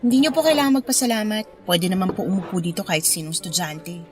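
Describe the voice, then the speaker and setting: A woman's voice: mid-range voice, fast, close to an everyday voice. A woman playing a curt, resentful young college student in a radio drama, with cold, blunt politeness. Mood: cool, distant. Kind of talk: radio drama acting in Filipino. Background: music bed.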